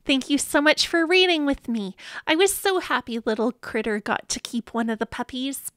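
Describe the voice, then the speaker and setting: A woman's voice: high-pitched, moderate pace, fairly bright tone, dry recording, studio quality. A woman hosting a read-aloud, narrating expressively and dramatically for small children. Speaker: storybook reader, channel host.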